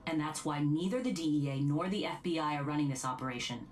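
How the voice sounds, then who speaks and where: An actress playing a composed, businesslike deputy director, giving a formal briefing in a game drama. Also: female voice, low-pitched, fast talker, distant mic, slight background noise, close to an everyday voice. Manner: formal, crisp official briefing.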